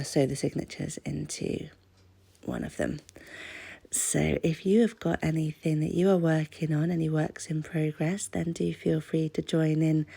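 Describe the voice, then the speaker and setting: A woman's voice: low voice, vocal fry, dark tone, slightly rough. A British woman with a stuffy-nosed voice from a cold, chatting casually and self-deprecatingly in a craft voice-over. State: has a cold. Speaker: craft channel host.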